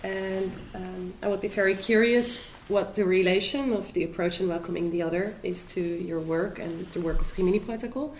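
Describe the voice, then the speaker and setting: A woman's voice: fairly low pitch, steady tone, normal speed, hardly any pauses. Tentative woman interviewer in an echoey room. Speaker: student interviewer. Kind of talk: interview questions. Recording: echoey room, faint noise.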